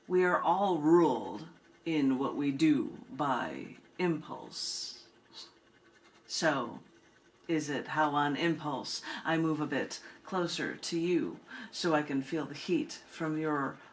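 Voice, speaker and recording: A woman reading spoken-word poetry in measured, deliberate phrases with dramatic pauses. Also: low-pitched. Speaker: poet reading own work, host of the reading.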